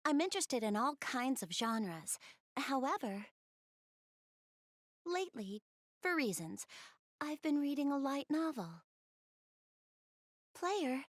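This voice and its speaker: Shy bookish goddess girl for a video game, high pitched, polite n kinda hesitant, super dry close recording. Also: dry recording. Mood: relieved, eager. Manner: hesitant, bashful, polite, rambling about hobbies. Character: shy, bookish young goddess girl, soft-spoken and earnest. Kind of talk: English video game dub, visual novel dialogue.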